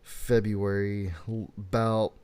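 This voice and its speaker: A man's voice: fairly low pitch, takes it slowly, smooth. A man in his late twenties from the United States, a podcast host chatting casually and acting out quoted dialogue in his stories. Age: late 20s. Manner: animated storyteller, acts out quotes. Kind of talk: casual chat.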